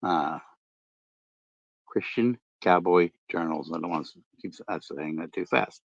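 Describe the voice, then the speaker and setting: Deep-voiced man, rambling late-night chat. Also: a little slow, creaky, dry recording. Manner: rambling, many fillers and restarts. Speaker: podcast host and ham radio vlogger. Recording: dull lo-fi dry sound.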